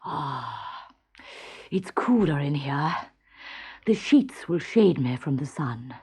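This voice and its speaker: A woman voicing a fussy, excitable king in a storybook, blustering and complaining. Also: low-pitched, slow, breathy edge, full character voice. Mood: grumbling.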